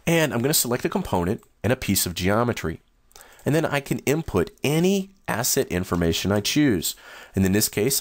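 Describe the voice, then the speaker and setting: A man walking through new software features in a steady, explanatory screen-recorded demo. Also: low voice, dry recording. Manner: steady, explanatory, first-person demo narration. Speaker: software demonstrator.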